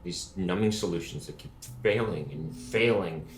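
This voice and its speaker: A man's voice: low-pitched, normal speed, a little hiss or hum, natural voice. An actor playing a desperate, haunted male patient in an audio horror drama, stammering and distressed. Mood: agitated, despairing. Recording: music behind the voice.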